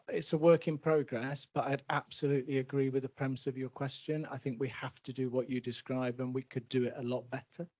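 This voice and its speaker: A man's voice: medium pitch, darkish tone. A man, an NHS executive, answering a question at a public meeting in an earnest, emphatic way with frequent restarts.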